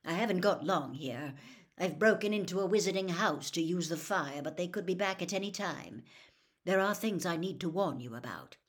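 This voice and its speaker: A woman voicing a troubled adult male wizard who gives earnest, hurried warnings in a storybook reading. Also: lowish voice, animated, lightly acted. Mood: urgent.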